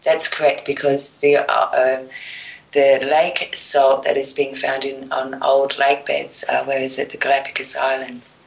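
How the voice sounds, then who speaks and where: A woman's voice: a bass voice, flat delivery, a little slow, gravelly, low-quality recording. A woman speaking as a guest in a casual radio chat. She makes her points emphatically, then trails off into near whispers. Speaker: show guest.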